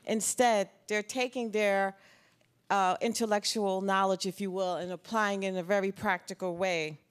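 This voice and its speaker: A woman hosting an event who introduces a panel in a formal, slightly halting way. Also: mid-range voice, steady tone, smooth. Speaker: event host.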